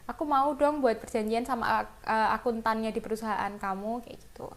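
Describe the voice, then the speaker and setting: Female English teacher, Indonesian accent, fairly high voice, informal and conversational while explaining phrases. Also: steady tone, normal speed. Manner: informal, conversational, addresses students directly. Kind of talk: lesson explanation, reading example phrases and translating them.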